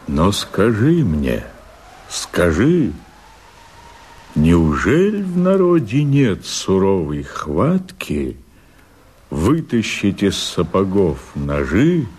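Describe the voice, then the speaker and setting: Radio play: resolute wandering rebel, low husky voice, very slow, brooding verse delivery. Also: male voice, a little mellow, heavily acted. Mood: provoking.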